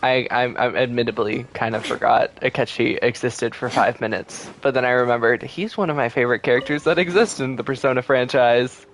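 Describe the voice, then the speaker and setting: A man livestreaming a game, chatting casually with viewers and thinking aloud in a relaxed way. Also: medium pitch, fairly quick, hardly any pauses, a little hiss or hum. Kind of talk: casual live gameplay chat with viewers. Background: video game music.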